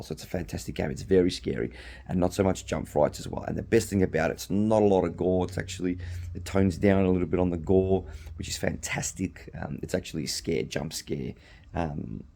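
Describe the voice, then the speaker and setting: A middle-aged Australian man hosting a podcast alone, slightly hoarse from losing his voice, rambling casually with frequent ums and restarts. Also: low-pitched, warm tone. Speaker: podcast host. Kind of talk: casual solo chat.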